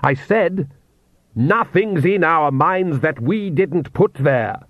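A male narrator plays an arrogant vampire count in a storybook reading. He is a domineering father who lectures pompously, his pitch moving freely in a dry, slightly dull recording. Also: lively intonation, measured pace, no room sound. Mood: defensive, shouting.

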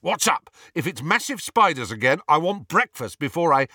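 A man's voice: fairly high pitch, very animated, normal speed, bright, forceful tone, breathy edge, no room sound, studio quality, voice pushed up, full character voice. A man voicing a blunt, easily startled teenage boy wizard in a storybook, with a blurting, incredulous manner. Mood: grumbling.

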